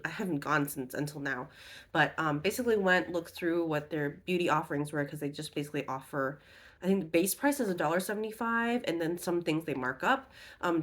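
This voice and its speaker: A young woman vlogging about makeup, her voice a bit off as she gets over an illness, chatty and rambling with lots of ums and self-corrections. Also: on the low side. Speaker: beauty vlogger. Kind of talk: casual haul and review chat.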